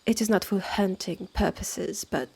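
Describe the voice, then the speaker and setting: A woman with a French accent playing a haughty, territorial witch's sister in a roleplay audio drama, talking in a measured, condescending way with breathy drops. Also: mid-range voice, dark tone. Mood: stern, territorial. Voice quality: husky, breathy. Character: haughty, territorial witch's sister running a secretive woodland hotel.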